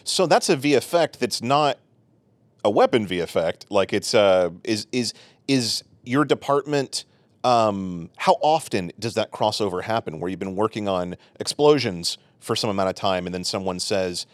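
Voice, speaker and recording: Male podcast host, low voice, very animated delivery, dry close sound, playful. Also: normal speed, no echo. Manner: playful, jokey asides. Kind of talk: casual podcast chat.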